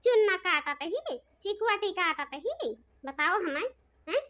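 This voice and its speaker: A very high, processed cartoon voice acted out by a performer plays a village woman who nags and coaxes. Her rural eastern Hindi-belt accent comes through slightly muffled, lo-fi audio. Phrases get repeated insistently. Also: big pitch swings, unhurried, sharp tone, heavily acted. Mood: reproachful. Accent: eastern Hindi belt (Bhojpuri-Awadhi region). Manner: nagging, coaxing, repetitive. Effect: pitched-up voice effect. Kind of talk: comedic cartoon dialogue.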